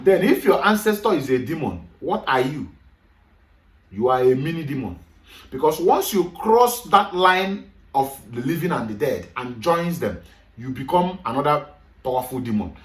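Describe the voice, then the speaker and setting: A man's voice: a little high, far from the mic. Emphatic Nigerian man lecturing in an echoey room. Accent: Nigerian, Igbo. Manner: emphatic, lecturing, mixes English and Igbo. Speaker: speaker on culture. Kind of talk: lecture-style talk to a group.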